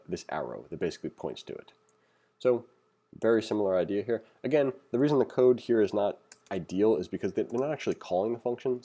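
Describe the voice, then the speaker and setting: A man's voice: low-pitched, warm tone. A man giving a casual, conversational programming tutorial as instructor, reading along and adding opinionated, self-deprecating asides.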